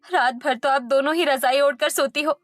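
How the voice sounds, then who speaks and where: A woman's voice: sits high, steady tone, moderate pace, fairly bright tone, dry recording, studio quality, faint music behind. A woman voicing a meek, suffering young daughter-in-law who speaks plaintively in a Hindi cartoon story. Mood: pleading. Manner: pleading, plaintive.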